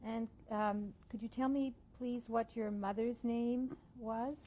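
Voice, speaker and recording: A woman conducting an oral history interview, asking measured, formal questions. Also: fairly high pitch, fairly even intonation, mellow, a little hiss or hum.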